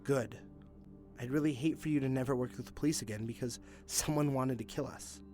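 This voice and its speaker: An actor playing an authoritative, earnest police detective in an audio drama, with a probing manner. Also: male voice, medium pitch, brisk, darkish tone, soft background music, close to an everyday voice. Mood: conciliatory, concerned. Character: male police detective, Hazel's superior and former partner.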